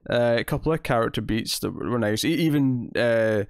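A man's voice: mid-range voice, fairly quick, dry recording, studio quality. A young Scottish man chatting casually and in a rambling way as he co-hosts a review podcast. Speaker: podcast co-host. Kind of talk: casual chat, TV episode review. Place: indoors at home, online video call.

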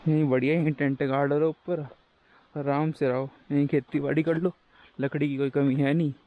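A man's voice: fairly high pitch, little pitch movement, normal speed, phone-quality sound. A young man talking casually to camera while hiking a forest trail, joking in a running commentary to himself. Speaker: travel vlogger.

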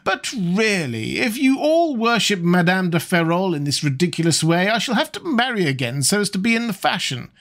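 A man's voice: sits high, sing-song, normal speed, hardly any pauses, fairly bright tone, voice pushed up, heavily acted. A talkative elderly hostess with a raised, fussy voice and a sing-song lilt, full of exclamations, as a male reader puts her on. She scolds and teases her guests in a storybook dinner scene. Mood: scolding. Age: older.

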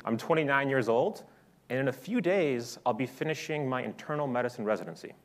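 A man in his twenties, a doctor in a film scene, speaking briskly and matter-of-factly. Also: medium pitch, animated. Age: 20s.

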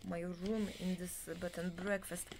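A woman in her forties, a Polish native speaker, giving a halting, half-whispered talk into a laptop webcam in a small bed-and-breakfast room. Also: fairly low pitch, flat delivery, noisy background. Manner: halting, many filler pauses, often half-whispered. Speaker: self-help lecturer. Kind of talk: slow unscripted monologue to webcam.

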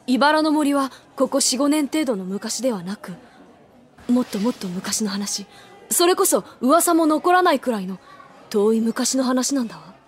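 Sharp schoolgirl, highish voice. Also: expressive, a little slow. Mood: reasoning. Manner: plain-spoken, thoughtful. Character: sharp, level-headed older schoolgirl. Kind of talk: anime audio drama acting.

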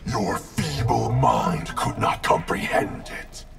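An actor playing a cold, vengeful undead ninja in a fighting game, with a gravelly, distorted growl and threatening delivery. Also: male voice, medium pitch, fairly even intonation, slow pace. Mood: menacing. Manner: threatening, declamatory. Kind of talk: fighting game character dialogue. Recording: loud music behind.

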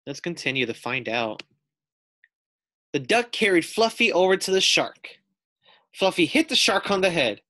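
A man's voice: high voice, sing-song, normal speed, pauses often, sharp tone, no room sound, clean studio sound. A man with an American accent reading a children's story aloud like a teacher, putting questions to his young listeners. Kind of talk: expressive children's read-aloud narration.

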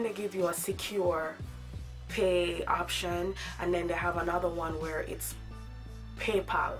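A woman in her thirties with a hoarse voice from a cold, chatting casually to camera in her bedroom about a wig she ordered. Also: fairly low pitch. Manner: chatty, animated, gestures a lot with her hands. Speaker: beauty vlogger. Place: bedroom at home, indoors.

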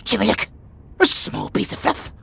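A man's voice: normal speed, sharp tone, close to an everyday voice. A British man voicing a bratty, self-important tiger warrior in a comedic audio roleplay, haughty, demanding and full of theatrical exclamations. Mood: playful grandiose.

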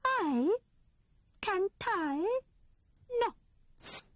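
A woman voicing a timid, excitable female squirrel with a squeaky, trembling voice in a storybook reading. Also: drawn-out words, heavily acted. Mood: insistent.

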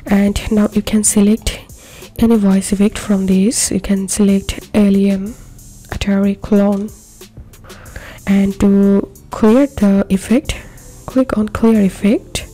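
A woman narrating a scripted how-to software tutorial as host, giving simple step-by-step instructions. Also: medium pitch, flat delivery, slow pace. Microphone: voice-over for screen recording. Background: background music.

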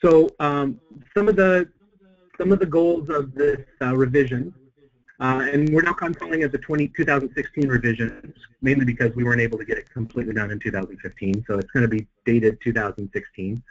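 Hoarse man presenting over a dull webinar line. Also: mid-range voice. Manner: halting, frequent "uh" fillers and restarts. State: slightly hoarse from a cold. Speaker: webinar presenter, center president.

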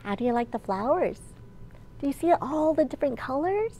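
A woman in her thirties, a children's librarian, telling a picture-book story in an animated, clearly enunciated way. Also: sits high, a little fast, slight background noise. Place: large indoor library room.

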